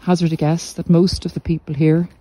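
A middle-aged woman giving reflective, informal podcast advice in a measured, deliberate way with pauses. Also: deep voice, level tone, mellow. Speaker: podcast host and narcissistic abuse recovery coach. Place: walk outdoors along the coast.

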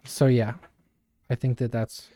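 A young man co-hosting a podcast, explaining ideas in a thoughtful, measured, conversational way. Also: mid-range voice, flat delivery, dark tone, no room sound. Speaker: podcast co-host. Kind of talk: casual explanatory discussion.

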